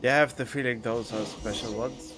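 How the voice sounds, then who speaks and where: A man's voice: mid-range voice, steady tone, fairly quick. A man streaming a game, muttering casual, offhand commentary to himself. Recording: home USB mic with game music behind.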